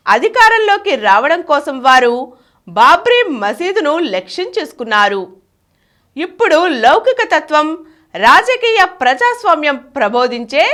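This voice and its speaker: Woman, presenter reading a scripted article, formal and emphatic, bright forceful tone, lively intonation. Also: high voice. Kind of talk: scripted read-aloud of a political article.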